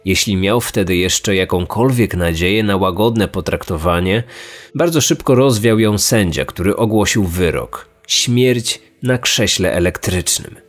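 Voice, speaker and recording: A man's voice: low-pitched, lively intonation, unhurried. A man reading a scripted true-crime narration as a podcast narrator. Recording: music under the voice. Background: background music.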